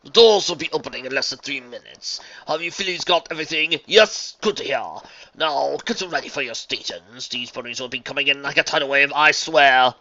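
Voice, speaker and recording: A man voicing a jovial, flattering male horse who organizes shows, with a grandiose, theatrical and effusive delivery. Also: medium pitch, brisk, few pauses, muffled recording, voice pushed up. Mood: brisk. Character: grandiose, jovial stallion exposition organizer, businesslike yet flattering.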